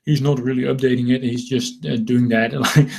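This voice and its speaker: Man, Dutch accent, non-native speaker, medium pitch, informal webinar host, rambling, repetitive, self-deprecating sales talk. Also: fairly even intonation, normal speed, creaky. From Netherlands. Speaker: webinar host selling marketing software.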